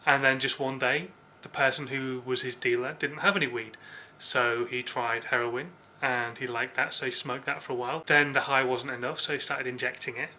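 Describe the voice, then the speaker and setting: Bright-voiced, slightly hoarse English man vlogging. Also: mid-range voice, little pitch movement, moderate pace, slight background noise. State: hoarse, with a cold. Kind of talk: casual vlog talk to camera.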